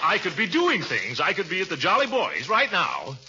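An actor playing a pompous, easily smitten middle-aged American suitor in a blustering, theatrical 1940s radio comedy style. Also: male voice, sits high, wildly swooping pitch, moderate pace, exaggerated voice. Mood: exasperated. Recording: muffled old radio recording with music.

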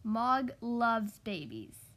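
A woman plays a kindly storybook mother with a fairly high voice. She speaks in a slow, drawn-out, reassuring way, as in a children's picture book. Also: big pitch swings, fairly bright tone, exaggerated voice.

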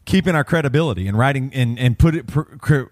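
Male interviewer, average pitch, dry recording. Chatty, loosely phrased questions. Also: lively intonation, fast talker, dry recording. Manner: informal, piles questions on one another. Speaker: podcast host and band musician. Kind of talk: casual interview chat.

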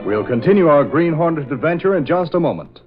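A man's voice: a little high, animated, fairly quick. A man narrating a scripted radio serial in 1940s announcer style. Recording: dull old radio recording with music.

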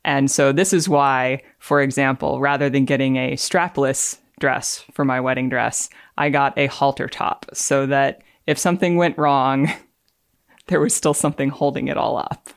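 A woman's voice: extremely low pitch, steady tone, measured pace, no room sound, studio quality. A woman engineer speaking as a guest, explaining and reading aloud. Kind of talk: explanatory talk with dramatic readings.

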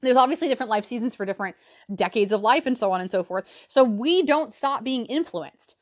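A woman's voice: a little high, expressive, a little fast. A woman in her thirties hosting a solo personal-development podcast, chatty and blunt, often checking in with her listeners. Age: 30s. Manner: chatty, keeps checking in with "right?" and "all right?"; blunt and a little profane. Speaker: podcast host.

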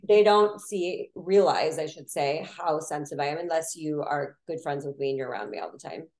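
A woman astrologer, a podcast guest, chatting casually and telling quick animated stories with voiced asides. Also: sits low. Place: Florida.

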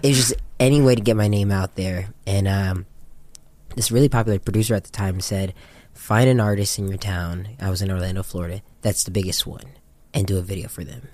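Deep-voiced American man telling his story. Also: fairly even intonation, a little fast, a little mellow, no room sound. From Japan. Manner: slangy, candid, anecdotal. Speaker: interviewed music video director and photographer. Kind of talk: casual interview storytelling.

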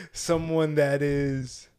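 A man co-hosting a podcast in loose, casual banter. His voice sits somewhat high, and he teases his friend, laughs often and stumbles over repeated words. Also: drawn-out words, a little mellow, clean tone. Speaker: podcast co-host.

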